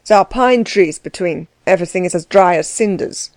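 A woman's voice: on the low side, moderate pace, a little mellow, no echo, natural voice. A woman voicing a curt, peremptory male German estate manager in an audiobook, matter-of-fact in manner. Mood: grim, resigned.

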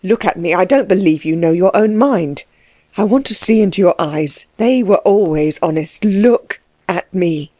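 A woman's voice: fairly low pitch, lively intonation, moderate pace, warm tone, dry recording, clean studio sound. A woman voicing an impassioned, insistent man pleading for love in an audiobook story. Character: lovesick man, intense, pleading, insistent, hopeless.

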